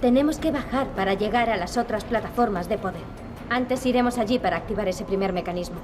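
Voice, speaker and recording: An actress playing an earnest, determined young princess in a video game. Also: female voice, medium pitch, fast talker, close to an everyday voice. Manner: earnest, serious. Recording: loud game music behind. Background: music.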